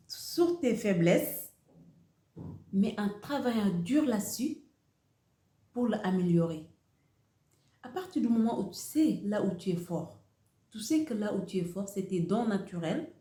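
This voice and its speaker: Slow-speaking woman, echoey room. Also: mid-range voice, lively intonation, mellow. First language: Wolof. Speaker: host of a motivational channel. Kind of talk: motivational talk to camera.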